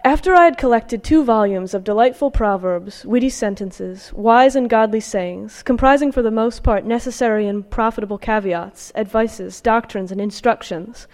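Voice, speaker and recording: A woman giving a scholarly academic talk, presenting research and reading from texts in a careful, somewhat halting way. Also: medium pitch, a little mellow. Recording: long hall reverb.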